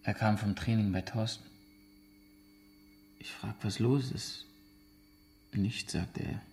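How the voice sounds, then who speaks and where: Male, resigned radio-play witness: gravelly, subdued, terse, faint hum behind. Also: lowish voice, fairly even intonation, takes it slowly, a little mellow. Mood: subdued, troubled. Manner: terse, clipped sentences. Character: resigned, subdued man being questioned. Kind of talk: naturalistic radio drama testimony.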